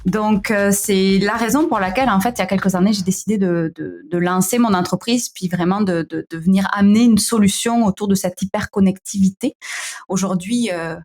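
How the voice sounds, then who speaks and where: A young woman with a southern French accent, a guest answering interview questions in a relaxed way, with frequent fillers and restarts. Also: mid-range voice, brisk. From southern France. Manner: hesitant, many fillers and restarts, laughs often. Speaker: podcast guest, founder of a digital wellbeing company. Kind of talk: conversational interview.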